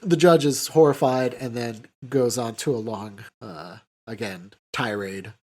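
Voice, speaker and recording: A man co-hosting a podcast, casually recapping a film in a halting way full of filler words. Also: medium pitch, darkish tone. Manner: halting, filler-heavy with "like" and "you know". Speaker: podcast co-host.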